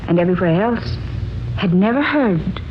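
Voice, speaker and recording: A woman playing an earnest traveler recounting a mysterious quest in a 1940s radio drama, with measured, declamatory delivery. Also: mid-range voice, lively intonation, slight background noise, close to an everyday voice. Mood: earnest, wistful. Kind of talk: 1940s radio acting, dramatic diary narration. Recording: dull 1940s radio recording, music underneath. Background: music.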